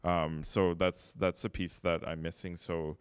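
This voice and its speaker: A man interviewing an artist in a relaxed way, asking curious questions with lots of filler words. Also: low-pitched, unhurried, clear, resonant tone, dry recording, studio quality. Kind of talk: casual interview talk.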